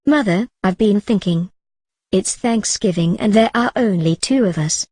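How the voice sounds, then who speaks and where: A woman's voice: medium pitch, a little slow, mellow, husky, no room sound. A woman playing a precocious, sceptical little girl with a matter-of-fact manner in an old-time radio drama. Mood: pleading.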